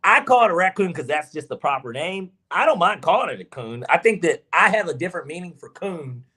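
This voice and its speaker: An emphatic Southern man chatting on a sports podcast, his fairly high voice swinging up and down in pitch. He argues his points with energy and drops the odd curse. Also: a little fast, slightly rough. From Georgia, US South. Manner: emphatic, animated, swears freely. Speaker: podcast co-host. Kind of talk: casual sports podcast chat.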